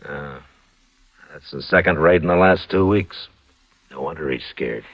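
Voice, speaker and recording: Very deep-voiced, terse western marshal. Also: male voice, a little slow, full character voice. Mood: grave. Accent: American. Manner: terse, understated. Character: watchful, lonely, dry-humored male US Marshal. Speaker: lead actor. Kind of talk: 1950s radio western acting. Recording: dull vintage radio recording.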